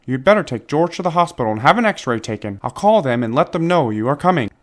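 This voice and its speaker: A man voicing a reassuring male doctor in a children's storybook, brisk and matter-of-fact as he explains. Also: fairly high pitch, very animated. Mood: businesslike, reassuring.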